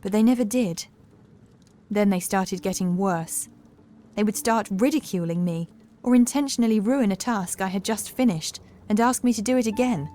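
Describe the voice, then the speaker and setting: A woman playing an anxious, dreamy housemaid in a horror audiobook, confiding softly and hesitantly. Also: mid-range voice, normal speed, slight background noise, close to an everyday voice. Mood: haunted, fearful. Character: fragile young housemaid haunted by cruel masters, dreamy and anxious.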